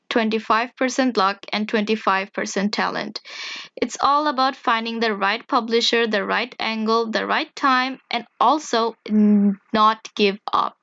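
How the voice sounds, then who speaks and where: Smooth-voiced woman reading questions aloud. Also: on the high side, a bit bright, no echo, muffled recording. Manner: reads aloud haltingly, with frequent pauses. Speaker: YouTube gaming channel host. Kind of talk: casual reading of viewer questions and answers.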